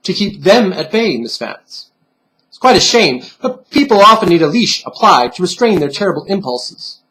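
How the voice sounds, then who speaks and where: A stern little bunny pin from a storybook , acted out by a man, speaks in formal, condescending lectures. The higher-set voice is solemn and patronizing, like a tutor to a child. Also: sing-song, moderate pace, sharp tone, exaggerated voice, rough recording. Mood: stern lecturing.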